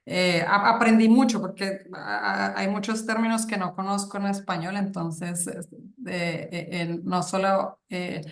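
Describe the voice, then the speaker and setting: A woman on a thesis jury puts careful academic questions over a video call, pausing often with fillers and restarts. Her voice sits mid-range and sounds a bit roomy. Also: mid-range voice, little pitch movement, creaky. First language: Spanish. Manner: hesitant, many fillers and restarts. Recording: echoey room.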